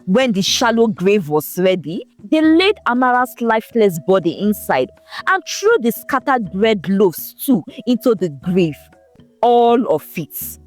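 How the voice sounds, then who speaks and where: A woman narrating a folktale in a scripted, expressive, dramatic storytelling manner. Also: mid-range voice, measured pace, faint music behind.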